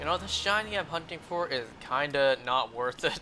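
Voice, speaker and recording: A man's voice: fairly high pitch, lively intonation, normal speed, pushed and bright, a little hiss or hum. A young man with a North American accent chatting casually and in a rambling way while streaming a video game, speaking into a close mic.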